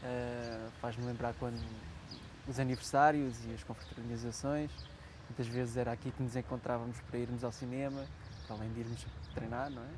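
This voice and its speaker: A man's voice: medium pitch, level tone, brisk, background noise. Hesitant man outdoors on a street. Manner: hesitant, with frequent fillers. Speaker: interviewee. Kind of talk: interview recollection.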